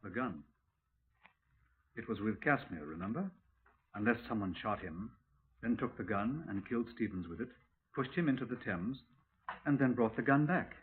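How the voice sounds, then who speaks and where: An actor playing an earnest, doubting police sergeant in a 1950s radio crime drama, husky, clipped and questioning, deferential to his superior. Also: fairly low pitch, animated, moderate pace, pauses often, slight background noise, close to an everyday voice. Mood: reasoning. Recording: muffled, narrow-band 1950s radio recording.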